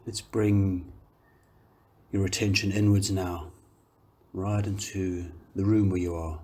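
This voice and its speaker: A man guiding a meditation, speaking calmly and gently in unhurried phrases with long pauses. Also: low voice. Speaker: meditation guide, yoga teacher. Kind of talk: guided meditation, slow soothing instruction.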